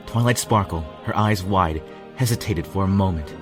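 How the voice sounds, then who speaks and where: A man's voice: deep voice, moderate pace, natural voice. A man narrating a fan fiction story as a lovelorn pony royal guard, grave and dramatic. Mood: urgent. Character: male pony royal guard telling his lovelorn tale. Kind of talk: scripted first-person story narration. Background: music.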